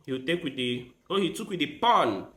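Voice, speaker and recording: Male chess streamer, Igbo first language, bright lively delivery, unhurried, echoey room. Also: a little high. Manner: thinks aloud while playing, with quick bursts of excitement. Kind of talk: casual live-stream commentary.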